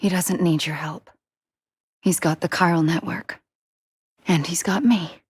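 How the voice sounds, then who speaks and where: An actress playing a protective, self-assured woman in a post-apocalyptic video game, speaking in a hushed, breathy voice. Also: on the low side, fairly even intonation, measured pace, no room sound, studio quality. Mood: possessive, assured. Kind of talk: cinematic video game acting.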